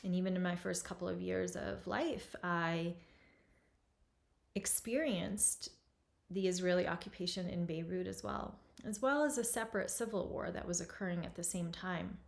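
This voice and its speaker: A woman in her forties from Lebanon, hosting and introducing an event in a slow, reflective, soft-spoken way. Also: fairly low pitch, vocal fry. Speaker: host.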